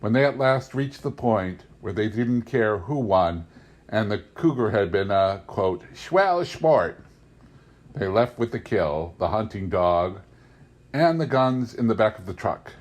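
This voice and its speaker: A man reading a memoir novel aloud in an expressive, scripted storytelling style. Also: lowish voice, a little slow. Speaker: reader of an audiobook.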